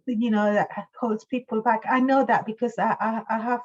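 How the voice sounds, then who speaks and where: A woman in her fifties hosting a conversational interview, halting and often repeating her words. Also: a little high, steady tone, a little mellow, dull audio. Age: 50s. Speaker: host, interviewer.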